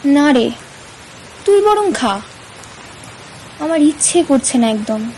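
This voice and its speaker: A woman voicing a troubled male doctor in a horror audio story, speaking gravely and reluctantly with dramatic dread. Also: sits high, very animated, takes it slowly, a little mellow, slight background noise, full character voice. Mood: dejected. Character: troubled, haunted middle-aged male doctor confiding dread.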